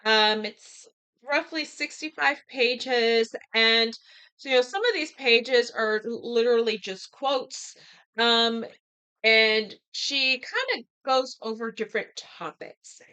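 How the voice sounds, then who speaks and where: Clear, bright-voiced American woman, unhurried. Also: on the high side, animated. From United States. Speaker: vlogger discussing a book. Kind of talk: casual reflective talk to camera.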